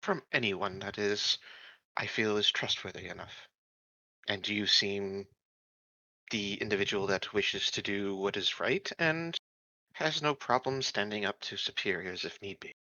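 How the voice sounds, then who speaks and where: A man's voice: fairly low pitch, a little slow, bright, forceful tone, no room sound, heavily acted. A man voicing an earnest, secretive non-human colony security officer in a tabletop game, polite and hesitant, on a dull, dry recording. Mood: confiding.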